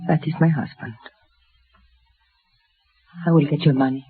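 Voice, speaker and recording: Husky noble widow. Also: female voice, sits low, steady tone, unhurried, heavily acted. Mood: guarded, composed. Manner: formal, restrained, no contractions. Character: composed, cool, aristocratic Spanish-speaking widow. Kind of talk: 1950s western radio drama acting. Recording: old radio broadcast, narrow band.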